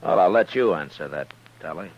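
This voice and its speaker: An actor playing a stern, weary American frontier marshal in a radio western, speaking with dry, sardonic authority. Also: male voice, low voice, expressive, fairly quick, lo-fi sound. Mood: brisk, probing. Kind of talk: 1950s radio western acting. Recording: noisy, humming old radio recording.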